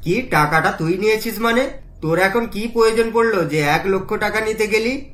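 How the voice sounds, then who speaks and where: A woman's voice: medium pitch, moderate pace, a bit bright, close to an everyday voice. A woman voicing a doting, worried middle-class father in a cartoon, earnest and fatherly. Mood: shocked. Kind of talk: cartoon voice acting. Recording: roomy echo, music behind.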